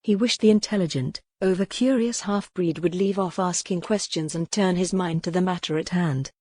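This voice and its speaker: A woman's voice: fairly low pitch, normal speed, a little mellow, dry recording. A woman reading a historical novel as scripted, dramatic audiobook narration.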